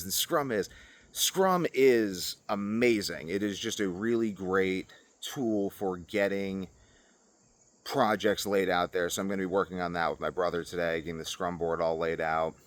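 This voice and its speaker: A man's voice: sits low, fairly bright tone, no echo. A man talking casually to viewers in a loose, informal, rambling vlog style. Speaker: vlogger. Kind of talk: casual rambling fitness and lifestyle vlog talk.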